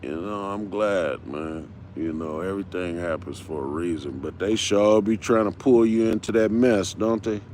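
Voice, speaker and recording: A man's voice: low voice, moderate pace, few pauses, a little mellow, a little hiss or hum. A man of fifty, unwell and tired, talking in a rambling, casual livestream, full of street slang and repeating himself. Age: 50s. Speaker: livestream host.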